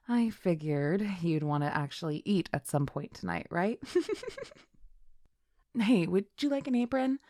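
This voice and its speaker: A woman's voice: on the low side, creaky, a little mellow, no room sound, studio quality. A woman playing a chill, teasing young best friend in a casual, intimate roleplay audio, chatting playfully with little hesitations. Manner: laid-back, playful, giggly, hesitant little fillers.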